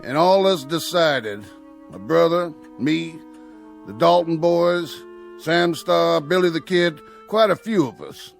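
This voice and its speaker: An actor playing a sly, menacing Old West outlaw in an audio drama, with a gravelly American Western drawl and a folksy, needling way of talking. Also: male voice, fairly high pitch, takes it slowly. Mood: taunting. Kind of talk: radio drama acting. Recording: music under the dialogue.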